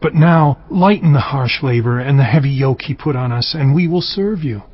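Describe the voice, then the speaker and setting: A man playing a pleading, burdened spokesman of the people in a dramatized scripture reading, with a husky voice. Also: medium pitch, moderate pace, lightly acted. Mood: pleading, earnest. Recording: dry, dull lo-fi.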